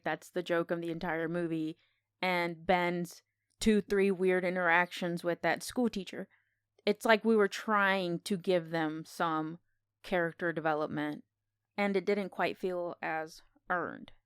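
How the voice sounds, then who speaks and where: A woman's voice: lowish voice, clean tone, no room sound, studio quality. A woman co-hosting a podcast, casually discussing film in a conversational, opinionated way. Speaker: podcast co-host.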